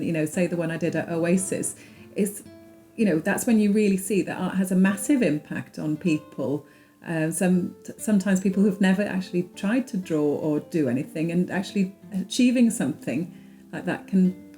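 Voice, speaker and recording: A Welsh woman, a textile artist, talking thoughtfully and earnestly in a documentary interview, with pauses and restarts. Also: fairly low pitch, dark tone. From Wales.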